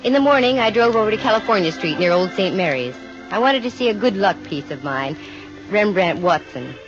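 A woman's voice: mid-range voice, animated, brisk, over music, lightly acted. An actress playing a wry female private investigator in an old radio detective drama, with a dry, wisecracking manner. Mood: wry narration. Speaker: lead actress and narrator. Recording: muffled old 1950 radio recording.

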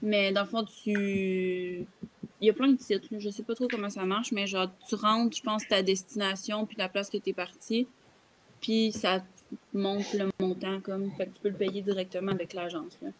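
A woman's voice: mid-range voice, little pitch movement, normal speed. A young woman with a Québécois accent chatting casually on a video-call podcast from a room at home. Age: young adult. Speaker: podcast panelist. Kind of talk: casual chat.

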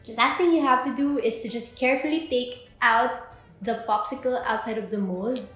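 A young woman guides viewers through a recipe step by step. Her voice sits fairly high. The room is strongly echoey, and music plays behind her. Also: normal speed, fairly bright tone. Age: 20s. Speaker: host of a drink recipe video. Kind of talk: scripted step-by-step tutorial presenting. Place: indoor kitchen with bare walls.

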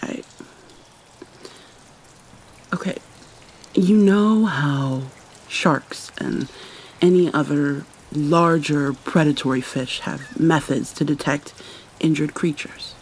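A woman voicing a guarded, wounded vampire mermaid in an ASMR roleplay, speaking in a hesitant, halting, hushed and often breathy way. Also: deep voice, slight background noise, poor audio. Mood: guarded, explaining. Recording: rain and water sounds behind. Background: rain and pouring water.

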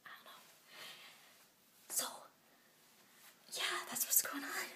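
A young woman playing an excitable orphan girl who secretly records a video diary at night, talking in a hushed whisper and rambling in bubbly bursts. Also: takes it slowly, reverberant. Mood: whispered, giddy. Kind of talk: scripted web series vlog monologue.

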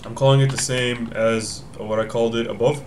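A man's voice: mid-range voice, fairly even intonation, a little fast, darkish tone, slight background noise. A young man hosting a casual tech tutorial from a home room, thinking aloud, often correcting himself as he explains the steps. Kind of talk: casual screen-recorded walkthrough.

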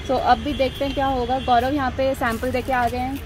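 A woman's voice: high voice, flat delivery, fairly quick. A woman travel vlogger chatting candidly and teasingly on a road trip, mixing Hindi and English. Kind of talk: casual vlog chat mixing Hindi and English. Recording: noisy outdoor ambience.

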